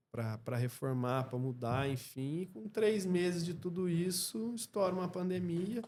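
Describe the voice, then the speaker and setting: A Brazilian man speaking as a podcast guest in a casual interview, reflective and halting, often checking in with short tag questions. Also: medium pitch, darkish tone, slight background noise. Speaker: podcast guest, clothing store co-founder.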